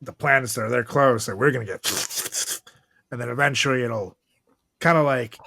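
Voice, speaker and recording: A man's voice: medium pitch, moderate pace, creaky, bright, forceful tone. A man hosting a podcast in casual, joking chat, rambling loosely and swearing freely. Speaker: podcast host.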